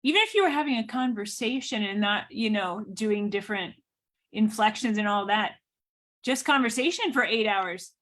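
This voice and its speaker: Chatty woman author, quick asides. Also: mid-range voice, animated, a bit bright. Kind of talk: casual video chat.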